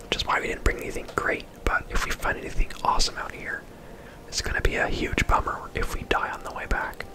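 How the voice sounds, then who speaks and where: An ASMR video host whispering casual, rambling gameplay commentary in a breathy, unvoiced voice. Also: a little fast. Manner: soft-spoken, rambling, casual.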